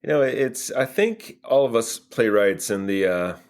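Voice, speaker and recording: A man in his forties with a low voice answers as an interview guest. His talk is casual and reflective, and he comes across as modest and self-deprecating. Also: lively intonation, measured pace, a little mellow. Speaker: podcast guest, playwright and actor.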